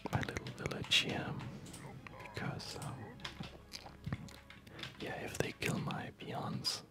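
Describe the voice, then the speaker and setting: Whispering man, slow ASMR game commentary. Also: music in the background. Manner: hushed, unhurried, rambling. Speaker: gaming video host.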